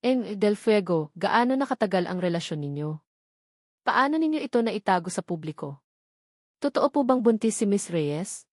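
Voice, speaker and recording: Pointed, formal female press reporter, voiced by a narrator, audiobook drama, medium pitch, dry sound. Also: expressive, moderate pace, dry recording, natural voice. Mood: probing. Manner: formal, probing. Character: sharp-eyed female news reporter probing a billionaire.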